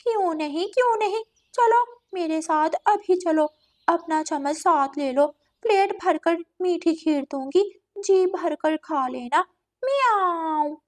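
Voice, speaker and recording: Woman voicing a sly, high-pitched female cat villain. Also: unhurried, a little mellow. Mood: flattering, coaxing. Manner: wheedling, punctuated with meows. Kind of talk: children's animated story dialogue.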